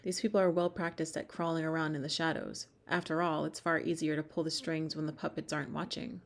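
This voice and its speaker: A woman playing a cold, calculating schemer in an ASMR audio drama, speaking in a measured, menacing way. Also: deep voice, fairly even intonation, vocal fry. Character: cold, calculating female schemer hunting a secret group.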